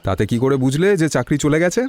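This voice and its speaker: Man acting a mellow, probing detective. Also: fairly high pitch, natural voice. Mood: concerned, reassuring. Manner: inquisitive, measured. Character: calm, probing young male detective. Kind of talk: Bengali audio story acting.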